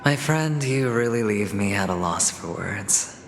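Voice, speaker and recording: An actor playing a dazed, wry gambler in a game cutscene, breathy, hushed and halting. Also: male voice, fairly low pitch, lively intonation, background noise. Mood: wry, weary. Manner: halting, theatrical. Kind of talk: dramatic game cutscene acting. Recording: long reverb with music.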